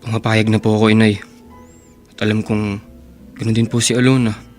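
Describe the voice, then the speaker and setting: An actor playing an earnest, devoted young boyfriend in a radio drama, speaking sincerely and reassuringly. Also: male voice, fairly low pitch, level tone, moderate pace, a little mellow, close to an everyday voice. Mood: respectful. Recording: music bed behind voice.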